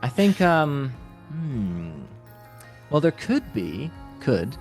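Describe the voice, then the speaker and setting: A man speaking as a voice actor guest in a casual interview, halting and thinking aloud as he goes. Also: mid-range voice, very animated, soft background music.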